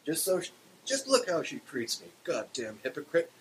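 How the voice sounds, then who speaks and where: A man's voice: fairly high pitch, huge pitch swings, normal speed, fairly bright tone, pitched above the performer's own voice, rough recording. A man voicing a resentful, foul-mouthed dog with an Irish brogue, grumbling in a story reading. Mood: bitter, cursing. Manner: grumbling, cursing. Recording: clear background hiss.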